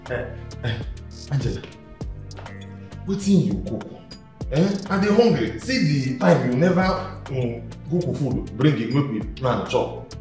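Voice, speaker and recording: A man's voice: medium pitch, big pitch swings, a little slow, pauses often, darkish tone. A man with a Nigerian accent playing a boastful, lovesick, ever-hungry house worker in a comic film, dramatic and full of repeated exclamations. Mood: pleading, boastful. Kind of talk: comic film acting in Pidgin. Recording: roomy echo, faint music behind.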